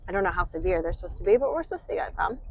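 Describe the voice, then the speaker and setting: A woman's voice: fairly low pitch, sing-song, rapid-fire, noisy background. An American woman hosting a casual vlog while driving, sick and congested with a light cough, chatty and rambling with self-deprecating humor. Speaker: channel host. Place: in a car.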